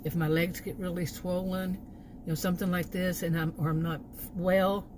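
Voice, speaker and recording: Female, American, lowish voice, exasperated off-the-cuff vlog rant, noisy background. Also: flat delivery, normal speed. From Texas, United States. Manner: exasperated, chuckles between remarks. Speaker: food delivery gig worker, vlogger. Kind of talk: casual rambling vlog rant.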